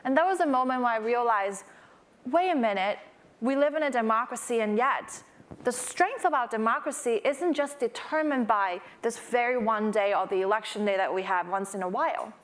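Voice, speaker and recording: A woman's voice: a little high, moderate pace. A woman in her thirties from Hong Kong, a startup founder telling a personal story on a conference panel, earnest and rising to emphatic peaks.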